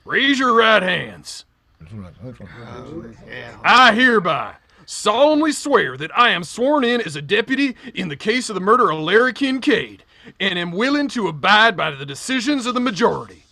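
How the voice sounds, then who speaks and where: A man playing a rough, self-important deputy in an old radio western. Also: high voice, big pitch swings, moderate pace, sharp tone, full character voice. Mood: blustering.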